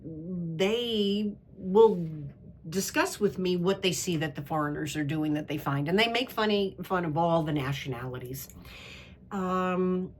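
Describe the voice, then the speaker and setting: A woman in middle age with a fairly low voice, speaking her mind in an interview. She talks candidly and drifts from one story to the next, firm in her opinions and casual in tone. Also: a little slow, a little hiss or hum. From United States. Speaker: women's travel club host. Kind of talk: interview answer, conversational monologue.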